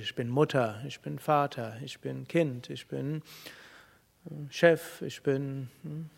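A man giving a calm spiritual talk to a live audience, speaking in a measured way with pauses and thoughtful hums. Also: medium pitch, vocal fry, long reverb tail. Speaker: spiritual teacher.

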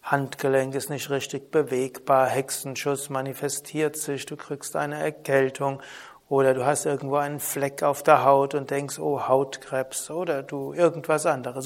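A man teaching a spoken lesson on yoga philosophy in a calm, measured way, often asking a soft "hm?". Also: medium pitch, creaky. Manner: calm, measured, with frequent soft trailing drops and questioning "hm?". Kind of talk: spoken lesson on Vedanta terms.

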